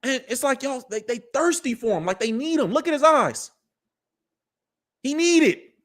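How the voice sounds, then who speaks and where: A man's voice: extremely high pitch, expressive, normal speed, fairly bright tone. A man in his thirties, hosting a commentary show, lecturing his listeners in a preachy, slang-filled way. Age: 30s. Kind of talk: animated live commentary.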